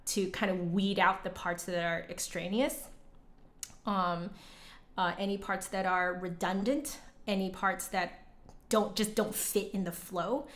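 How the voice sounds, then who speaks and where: Slow-paced woman chatting on a livestream. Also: medium pitch, steady tone. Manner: candid, informal, conversational. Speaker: livestreamer and online flute teacher. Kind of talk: casual livestream chat with viewers.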